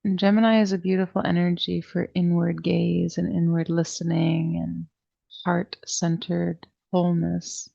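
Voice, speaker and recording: A woman teaching a guided meditation class, speaking in a soothing, deliberate way with long pauses and a soft-edged, creaky voice. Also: lowish voice, little pitch movement, lo-fi sound. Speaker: yoga nidra meditation teacher.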